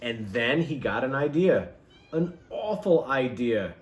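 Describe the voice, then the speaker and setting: A man reading a children's storybook aloud in an expressive, emphatic, theatrical manner. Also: medium pitch, slow pace.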